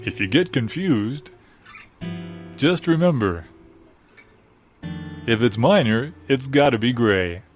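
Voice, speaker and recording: A man playing the earnest narrator of a guitar lesson record, measured and didactic, in a comedy parody. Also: medium pitch, animated.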